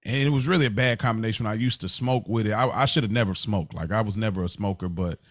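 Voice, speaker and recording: A man's voice: on the low side, quick pace, no room sound, clean studio sound. A man co-hosting a podcast, talking candidly and reflectively in a casual, slangy way. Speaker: podcast co-host.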